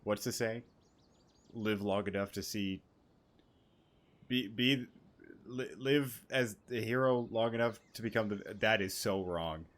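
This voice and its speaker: Low-voiced man doing casual game commentary. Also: a little slow, long pauses, a bit bright. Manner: irreverent, reacts aloud, swearing. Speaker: gaming streamer. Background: game music.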